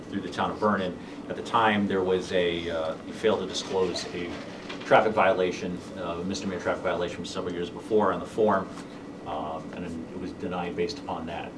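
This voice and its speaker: Low-voiced police chief, halting. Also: male voice. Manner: halting, with many fillers and restarts. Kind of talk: testifying at a public board hearing. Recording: distant room mic with background noise.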